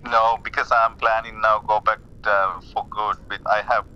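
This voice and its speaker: Bright-voiced Sri Lankan man, muffled call. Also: little pitch movement, clear, resonant tone. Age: 40s. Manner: hesitant, halting, repeats words. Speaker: caller, lay Buddhist practitioner. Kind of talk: casual conversation about personal spiritual path. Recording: muffled phone call with rumbling noise.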